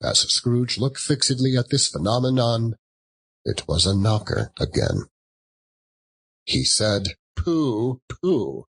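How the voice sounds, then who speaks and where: A man narrating a classic story as an audiobook, theatrical, with dramatic and deliberate phrasing. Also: fairly low pitch, creaky, fairly bright tone, breathy edge.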